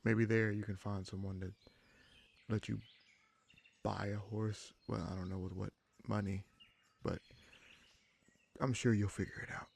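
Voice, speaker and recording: A man playing an easygoing, protective bandit leader in a fantasy roleplay audio drama, speaking in a halting, conversational way with a gravelly voice. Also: on the low side, little pitch movement, darkish tone, exaggerated voice. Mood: easygoing, teasing. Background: birdsong, forest ambience.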